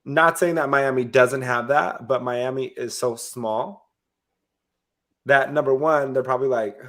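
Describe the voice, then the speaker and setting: A man with a congested, stuffed-up voice chats casually on a reality TV recap podcast. He is blunt and opinionated with a playful edge, at an ordinary mid pitch. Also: steady tone, measured pace, a bit bright. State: stuffed-up nose. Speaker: podcast co-host.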